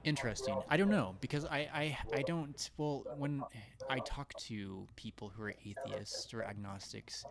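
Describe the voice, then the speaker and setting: A man hosting a casual live chat, hesitant and rambling as he thinks out loud. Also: mid-range voice, normal speed, vocal fry, a crowd in the background. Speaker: live stream host.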